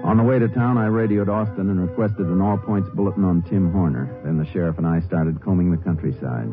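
An actor playing a steady, businesslike Texas Ranger with an American Western accent, speaking laconically in a radio western. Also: male voice, low voice, fairly quick, natural voice. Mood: brisk, determined. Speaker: lead actor and narrator. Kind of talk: 1950s radio western acting. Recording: muffled old radio, music behind.

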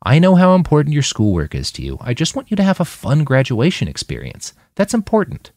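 A doting, sarcastic middle-aged mother from an audiobook, as a male narrator puts her on. The voice is lifted in pitch and very animated. It has a sugary, lilting, pointed manner. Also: brisk, no echo, studio quality, full character voice. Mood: sweetly reassuring.

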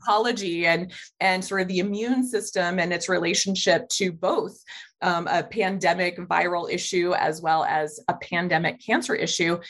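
A middle-aged woman speaking as a guest in an interview, quick and animated as she talks. Her voice sits on the lower side for a woman, and she hurries through phrases. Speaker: guest, integrative oncologist. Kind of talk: conversational interview answers.

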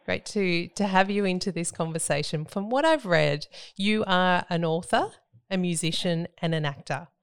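A woman's voice: fairly low pitch, moderate pace, smooth. A woman hosting a podcast, reading a prepared introduction and then asking her guest questions. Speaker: podcast host.

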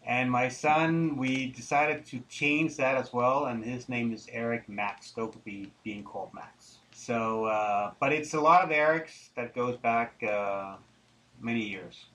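A middle-aged man from Denmark, talking conversationally and haltingly as an interview guest. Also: mid-range voice, fairly bright tone, poor audio. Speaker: interview guest, tobacco businessman. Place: studio, live interview. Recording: clear background noise.

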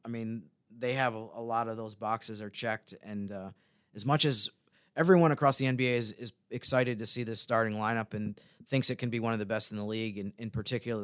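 A man co-hosting a sports podcast, talking through analysis in a casual, wordy, measured way. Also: on the low side, fairly bright tone, clear, resonant tone, no room sound, clean studio sound.

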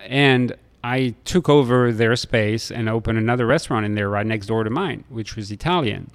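A middle-aged man from France with a French accent, telling stories in an interview in a halting way, often hesitating and repeating words. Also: mid-range voice, smooth. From Lille, France. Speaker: restaurateur being interviewed. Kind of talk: conversational interview storytelling.

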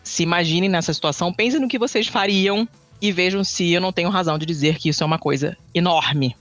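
A man's voice: sits high, fast, fairly bright tone, faint music behind. A man from Brazil co-hosting a casual podcast, rambling with many restarts and fillers. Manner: rambling, many restarts and fillers, admits never being brief. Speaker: podcast co-host. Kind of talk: casual chat.

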